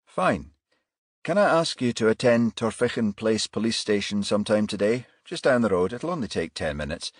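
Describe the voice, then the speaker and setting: A man voicing a composed, courteous male detective inspector in a crime audiobook, measured and businesslike. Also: on the low side, sing-song, breathy edge, no echo, clean studio sound, voice pushed up.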